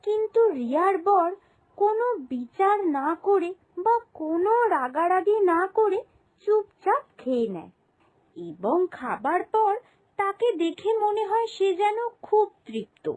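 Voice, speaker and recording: A woman's voice: very high-pitched, sing-song, measured pace, darkish tone. A woman narrating a scripted moral cartoon story as a storyteller.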